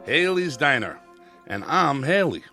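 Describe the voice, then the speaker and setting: A talkative roadside diner owner in a radio drama. His voice is bright and swings freely in pitch. He is plain-spoken, folksy and inquisitive, over faint music. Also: very animated, a little slow, gravelly, exaggerated voice. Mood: hospitable, breezy. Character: chatty, curious male owner of a roadside diner. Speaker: actor in a radio play.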